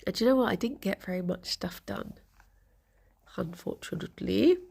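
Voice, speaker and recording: A young woman streamer with a congested, bunged-up voice, chatting softly with viewers and laughing often. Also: medium pitch, normal speed, gravelly, slightly rough. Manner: soft, chatty, laughs often, greets chat by name. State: congested, stuffy nose. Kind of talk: casual morning chat with viewers.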